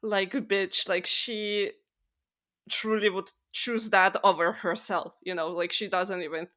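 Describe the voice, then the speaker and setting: A woman's voice: medium pitch, fairly even intonation, slow pace, pushed and bright. A woman co-hosting a podcast, chatting casually about books in an informal, sweary way full of "like". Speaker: podcast co-host. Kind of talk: casual book discussion chat.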